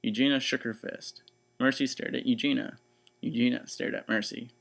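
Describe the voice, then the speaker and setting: A man reading a children's story aloud in expressive, scripted narration. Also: mid-range voice, moderate pace, creaky.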